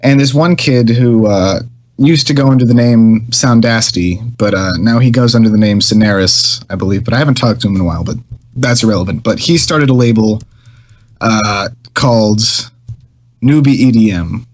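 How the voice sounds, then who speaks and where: A man's voice: lowish voice, moderate pace, a little mellow. Meandering young man telling a story. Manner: meandering, hesitant, laughs easily. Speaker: record label founder, guest. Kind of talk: casual interview storytelling.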